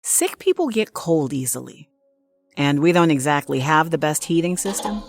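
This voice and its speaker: A woman playing a solitary, unflappable night nurse, narrating an audio drama in a measured, confiding way. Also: deep voice, sing-song, creaky, faint music behind, heavily acted. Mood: pensive, composed. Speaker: actress, narrator. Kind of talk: first-person scripted audio drama monologue.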